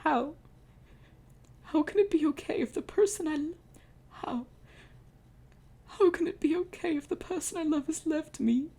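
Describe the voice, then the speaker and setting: A woman's voice: sits high, unhurried, pauses often, dark tone, voice pushed up. A woman voicing a grieving young male pharaoh, tender and desperate, read out melodramatically. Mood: despairing. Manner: melodramatic reading of dialogue.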